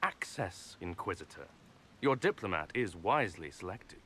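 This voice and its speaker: Deep-voiced, pompous noble villain. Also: male voice, sing-song, moderate pace, a bit bright, slight background noise. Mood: smug, calculating. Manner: pompous, formal, patronizing. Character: smug, condescending male nobleman villain, smooth schemer. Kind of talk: video game character acting.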